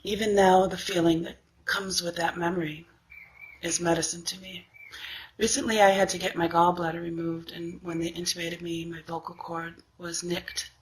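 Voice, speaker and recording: A woman who sings, speaking in a reflective personal monologue with a weak, raspy voice and a thoughtful, questioning manner. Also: on the low side, little pitch movement, moderate pace, a little hiss or hum, rough recording. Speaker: singer.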